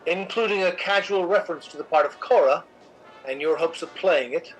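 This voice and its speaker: A man playing a suave, cynical, controlling theatre critic in a radio drama, dry, urbane and measured. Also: sits high, faint music behind, close to an everyday voice, rough recording. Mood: coolly knowing. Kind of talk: recreated 1950s radio drama acting and narration.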